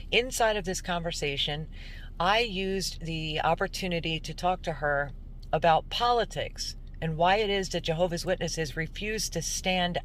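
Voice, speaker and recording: A woman giving earnest, emphatic religious commentary as a host, in deliberate narration. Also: lowish voice, fairly bright tone, a little hiss or hum. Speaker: host introducing a recorded call.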